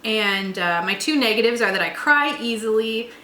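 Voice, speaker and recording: Female co-host, mid-pitched and bright, informal chat, roomy recording with a little noise. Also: animated, moderate pace. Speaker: co-host of a tabletop roleplaying channel. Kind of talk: casual chat. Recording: roomy echo, faint background noise.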